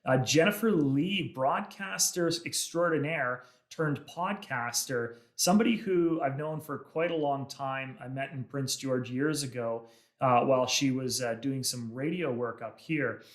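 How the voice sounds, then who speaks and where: Enthusiastic podcast host man, roomy sound. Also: medium pitch. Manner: friendly, many "uh" pauses, enthusiastic. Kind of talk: casual interview chat.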